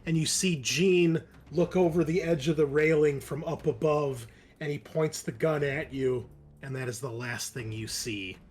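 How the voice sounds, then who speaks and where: Higher-voiced man running a tabletop horror game. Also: unhurried, darkish tone, husky. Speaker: game master.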